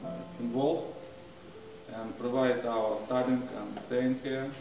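A middle-aged man who is not a native English speaker gives a slow, hesitant graduation speech with many pauses and fillers. He is recorded from across a large stone hall, so the sound is very echoey and distant. Loud music plays over him. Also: mid-range voice, steady tone. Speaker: graduating student. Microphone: far camera.

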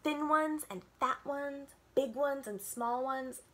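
Young female children's librarian, bubbly read-aloud storyteller, home webcam sound. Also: high-pitched. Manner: animated and expressive, drawn-out storytelling. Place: home room with bookshelves. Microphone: webcam on a video call.

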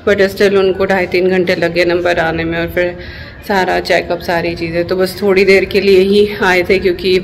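A woman's voice: fairly low pitch, little pitch movement, normal speed, vocal fry, a little hiss or hum. A middle-aged woman from India chatting casually in a travel vlog, mixing English words into Hindi, tired with a headache. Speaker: vlogger. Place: airport and then at home.

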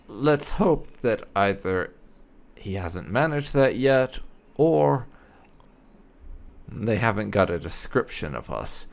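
A man commentates casually on his own roleplay game session. His voice is fairly low with plenty of fry, and his intonation is lively. He muses through choices with wry, sarcastic asides. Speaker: gamer, host of a roleplay playthrough.

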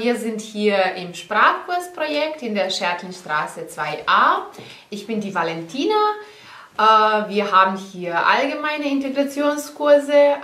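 A woman from a language course project, presenting in a lively, upbeat way. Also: mid-range voice. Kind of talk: cheerful presentation. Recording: echoey room.

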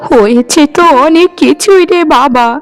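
Lady doing an old village mom in a cartoon, high voice, plaintive n loving, bit of music behind. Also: normal speed. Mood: aggrieved, sorrowful. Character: wronged elderly village mother, tearful, repentant and affectionate. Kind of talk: Bengali cartoon drama dialogue. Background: plucked-string music.

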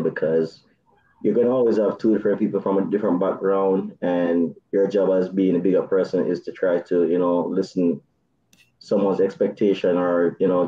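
A man in his thirties with a Jamaican accent, chatting on a video call as a dating show contestant, soft-spoken with nervous laughs. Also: deep voice, reverberant, muffled recording. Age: 30s. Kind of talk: casual video call chat.

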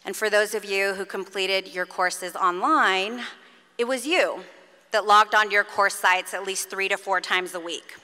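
A woman's voice: mid-range voice, fairly even intonation, bright, forceful tone. A middle-aged woman giving an earnest, encouraging commencement speech at a lectern microphone. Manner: earnest, encouraging, measured address to graduates. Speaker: faculty commencement speaker. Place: large concert hall stage at a lectern. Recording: long hall reverb.

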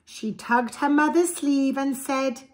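A woman in her forties with an English accent, reading a rhyming picture book to young children in an expressive, deliberate way, with simple asides. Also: high voice. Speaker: preschool storyteller. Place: small indoor room with bookshelves. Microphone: camera a short distance away.

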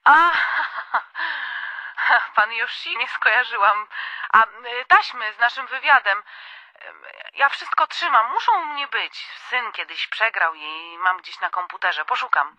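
A woman's voice: on the high side, animated, unhurried, sharp tone, dry recording, highs cut off, full character voice. An actress playing a friendly, eager, polite Polish woman in a radio drama, heard through a telephone filter. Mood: surprised, eager. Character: friendly, eager Polish woman taking a restaurant order, former interviewer. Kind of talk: naturalistic radio drama acting.

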